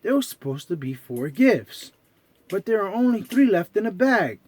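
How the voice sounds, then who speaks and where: An eager cartoon mouse, acted out by a man reading a storybook, pitched well up. He is anxious and excitable, exclaiming his words like a small helper with a big job. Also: moderate pace. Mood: worried.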